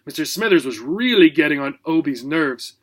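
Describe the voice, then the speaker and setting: A man's voice: fairly high pitch, lively intonation, moderate pace, fairly bright tone. A man narrating a children's book as a teacher, expressively and with theatrical emphasis.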